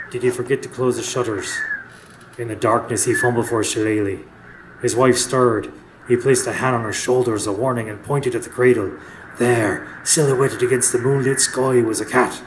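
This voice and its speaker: A man's voice: mid-range voice, lightly acted. A man acting in a radio drama as a seasoned older workmate who tells spooky folk tales with folksy drama. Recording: clearly noisy live stage recording.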